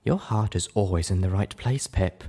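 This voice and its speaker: A man voicing an adult female unicorn, refined, caring and forceful, in a storybook reading. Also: low voice, normal speed, slightly rough. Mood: tender. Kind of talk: audiobook character voice.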